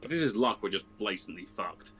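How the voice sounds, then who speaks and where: A man chatting and bantering casually as a player in a group game, his delivery animated. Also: lowish voice, brisk, soft background music.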